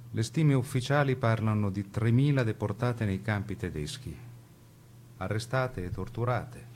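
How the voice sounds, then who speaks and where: A man as a sober narrator of wartime history, reading a script in a formal, measured way for a radio play. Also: sits low, darkish tone, slight background noise.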